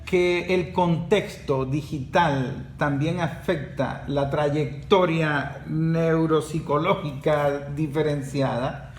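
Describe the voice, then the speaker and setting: A man hosting a podcast interview, explaining ideas in measured, drawn-out phrases. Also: a little high, a little mellow, slight background noise. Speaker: podcast host. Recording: roomy echo.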